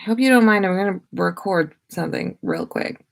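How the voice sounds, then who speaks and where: A woman's voice: medium pitch, wildly swooping pitch, moderate pace, vocal fry. A woman hosting a casual livestream, chatting informally and greeting her listeners. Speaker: livestream host and podcaster.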